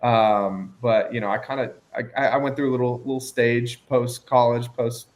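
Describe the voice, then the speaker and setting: Young man, easygoing, agreeable, casual date chat, mid-pitched voice. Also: moderate pace. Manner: relaxed, agreeable, repeats himself. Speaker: dating show lead.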